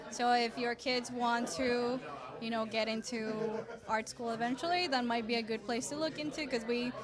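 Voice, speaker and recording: High-voiced woman from Costa Rica. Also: steady tone, moderate pace, a crowd in the background. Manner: enthusiastic, laughs often, frequent fillers. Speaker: art teacher, interviewee. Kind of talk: casual interview chat. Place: art gallery at an art walk.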